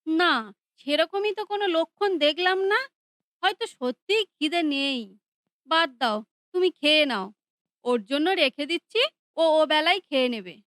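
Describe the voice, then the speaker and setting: A woman's voice: high-pitched, animated, moderate pace, long pauses, fairly bright tone, no room sound, studio quality. A woman voicing a bossy, spiteful mother in a cartoon who scolds sharply. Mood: scolding.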